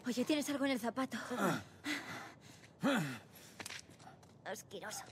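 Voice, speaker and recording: A woman's voice: a little high, fast, very noisy, natural voice. A woman voicing a plucky, sarcastic teenage girl survivor in a video game, offhand, with a Castilian Spanish accent. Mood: cheeky. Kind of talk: dramatic video game voice acting.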